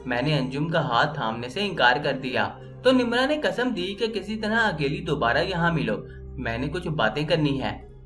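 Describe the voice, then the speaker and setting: A woman reading a first-person story as scripted narration, in an expressive, emotional, dramatic storytelling manner. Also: low-pitched, normal speed, soft background music.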